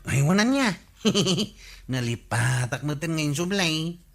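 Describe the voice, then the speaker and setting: A man's voice: fairly high pitch, animated, slow pace, vocal fry, fairly bright tone, full character voice. A man playing a cheeky, forgetful male village borrower in an Ilocano comedy radio drama, hemming and making apologetic excuses. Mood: casual, excuse-making.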